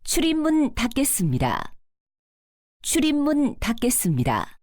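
A woman's voice: sits high, wildly swooping pitch, unhurried, a little mellow, faint music behind. A woman reading scripted subway announcements in a polite, formal manner as a transit announcer.

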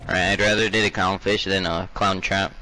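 A man's voice: low voice, level tone, moderate pace, pushed and bright, poor audio. A man bantering bluntly and casually in gaming voice chat. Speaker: gamer.

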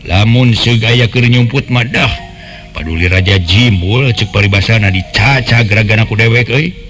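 A man's voice: lowish voice, moderate pace, fairly bright tone, lightly acted. A man playing a blunt, protective older village brother in a radio folk tale, speaking in a coarse, emphatic way. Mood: indignant. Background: faint music.

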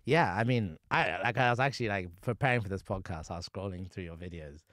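Young male podcast host from Zimbabwe, lowish voice, lively and laughing, dry studio mic. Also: fast, dry recording. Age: young adult. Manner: animated, laughs often, gestures while talking. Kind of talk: casual podcast chat. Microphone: boom mic on arm, headphones.

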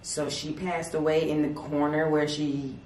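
A woman with a drawl and a slightly low voice asks a spirit questions slowly and politely. She is heard from across a dim, echoey salon, picked up by a distant camera, with faint background noise. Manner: slow, calm, polite questioning. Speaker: paranormal investigator. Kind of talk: spirit communication session. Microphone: far camera. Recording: echoey room from far off.

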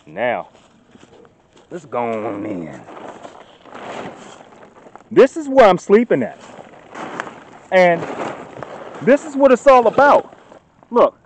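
A man in his thirties talking casually to a handheld phone camera outdoors in the woods, getting loud and excited as he shows off his work. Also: a little high, slight background noise. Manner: chatty, gets loud and excited showing off. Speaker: outdoors vlogger. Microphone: phone camera held at arm's length. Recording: dull lo-fi phone audio outdoors.

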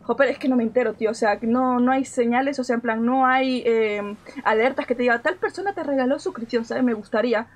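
High-pitched young woman streamer, Mexican-tinged Spanish accent. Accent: Spanish with Mexican inflections. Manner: animated, repeats phrases rapidly, complaining slangily. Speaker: game streamer. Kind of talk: casual live gameplay commentary.

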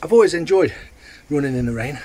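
A man's voice: a little high, lively intonation, fast talker, warm tone, faint music behind. A man, chatting casually and matter-of-factly to camera as a vlogger. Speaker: vlogger and youth football coach. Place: changing spots: rooms at home, doorstep, open outdoors. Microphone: phone at arm's length. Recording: clear background noise, outdoor ambience.

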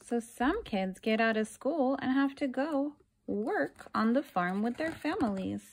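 A woman reading a children's picture book aloud like a teacher, deliberately, pausing between phrases and putting questions to her young listeners. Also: a little high.

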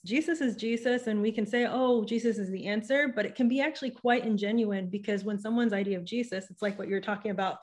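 A young woman speaking as a podcast guest over a video call, talking things through thoughtfully with frequent restarts, into a studio microphone at home. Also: mid-range voice. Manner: thoughtful, restarts often, animated with hand gestures. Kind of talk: casual interview conversation. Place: home room with bookshelf and patterned wall hanging. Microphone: studio microphone on a boom arm.

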